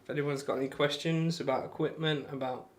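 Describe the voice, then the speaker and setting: Guy chatting to his stream between darts, bit high voice, matey. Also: steady tone, fairly quick, background noise. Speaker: livestream host playing darts. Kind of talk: casual chat with viewers.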